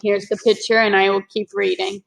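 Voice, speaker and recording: A woman's voice: medium pitch, level tone, fairly quick, creaky, slight background noise, poor audio. A woman reading a children's story aloud expressively, as if to a young class.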